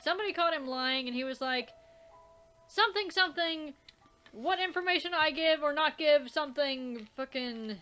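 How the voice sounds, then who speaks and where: A woman's voice: sits high, normal speed, pushed and bright, soft background music. A woman hosting a let's play, reading the game's narration aloud and commenting with exasperated swearing and laughter.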